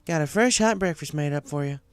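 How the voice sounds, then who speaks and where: A folksy young farm mare from a cartoon story voiced by a man, pitched well up. The pony is hospitable and plain-spoken, talking in a friendly, welcoming way over background music. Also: fairly quick, clear, resonant tone, close to an everyday voice. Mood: warm, folksy.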